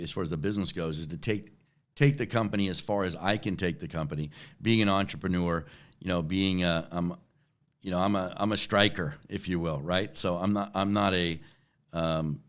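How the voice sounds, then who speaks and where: Deep-voiced man in his forties. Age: 40s. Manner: repeats words, often checks in with "right?". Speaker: interview guest, company chief executive. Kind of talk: conversational interview answers.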